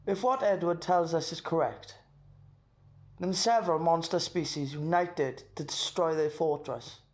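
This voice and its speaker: A man's voice: a little high, unhurried, close to an everyday voice. A man playing an earnest, worried slayer council member in an amateur audio drama, grave and urgent.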